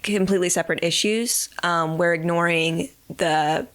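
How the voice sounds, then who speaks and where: A woman speaking as a podcast guest, explaining her views through analogies in a relaxed, thoughtful way. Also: on the low side, normal speed. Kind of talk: conversational interview.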